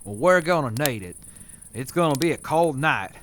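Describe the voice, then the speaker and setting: A man voicing a steady group leader who talks in a practical, reassuring way. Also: a little high, normal speed, slight background noise.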